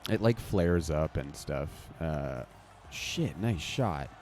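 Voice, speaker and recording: A man's voice: rumbling low, expressive, takes it slowly, a little mellow, faint music behind. A man streaming a game, reacting casually and swearing at the play as it happens. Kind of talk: live gameplay commentary.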